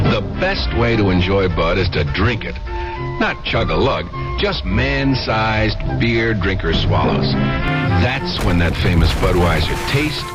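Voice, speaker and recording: A man reading a vintage radio beer commercial in a hearty, persuasive way. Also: lowish voice. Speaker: announcer.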